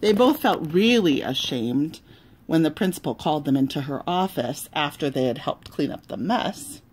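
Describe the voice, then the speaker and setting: A woman reading a children's storybook aloud in an expressive, deliberate way, pausing between phrases. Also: low-pitched, husky. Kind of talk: storybook read-aloud with asides.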